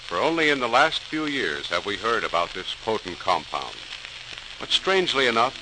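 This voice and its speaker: A man giving formal, deliberate, dramatic scripted narration in the manner of a 1940s radio programme. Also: mid-range voice. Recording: hissy, crackling 1940s radio recording.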